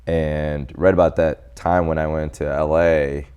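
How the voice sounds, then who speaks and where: A man in his forties from El Salvador, a doctor telling his life story as a guest in a casual interview, animated and quoting people as he goes. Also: a bass voice, a little slow, clean tone, highs cut off. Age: 40s.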